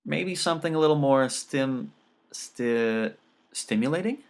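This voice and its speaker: A man's voice: mid-range voice, expressive, measured pace, voice pushed up. Student daughter, played by a male narrator: young, polite, earnest, keen to explain, audiobook fiction. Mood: hesitant. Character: polite, keen college-age daughter, earnest and bright-minded.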